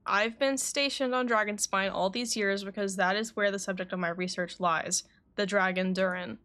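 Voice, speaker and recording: Woman voicing a composed male alchemist, measured, explanatory, game dialogue, medium pitch. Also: steady tone, fairly bright tone, natural voice. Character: calm, measured male alchemist confessing in court.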